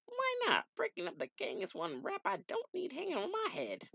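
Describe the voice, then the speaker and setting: A man's voice: wildly swooping pitch, fairly quick, creaky, bright, forceful tone, highs cut off, slight background noise, exaggerated voice. A squawky brash crocodile guy. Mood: indignant. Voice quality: high-pitched, squawky. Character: brash loudmouth male crocodile detective.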